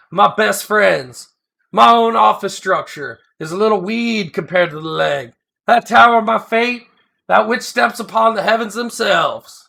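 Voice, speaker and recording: A man's voice: sits high, vocal fry, sharp tone, full character voice. A man voicing a humble, sentimental older builder who tells his story with rustic, backwoods grammar. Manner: emotional, plainspoken storytelling. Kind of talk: first-person character reading.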